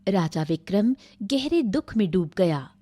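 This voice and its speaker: Dark, mellow woman storyteller. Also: medium pitch, big pitch swings, unhurried. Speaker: narrator. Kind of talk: scripted story narration.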